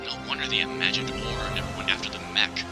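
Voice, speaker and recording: An actor playing a cocky, glib billionaire armored hero in a comic dub, flippant and full of wisecracks. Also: male voice, fast, lightly acted. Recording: music behind the voice.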